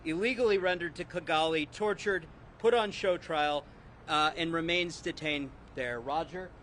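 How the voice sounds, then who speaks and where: Brief: high-pitched male emcee, brisk and informal, faint street noise. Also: fairly bright tone. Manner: brisk, filler-laden emcee delivery. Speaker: event organizer and emcee. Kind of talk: introducing speakers at a press event. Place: outdoors on a city street.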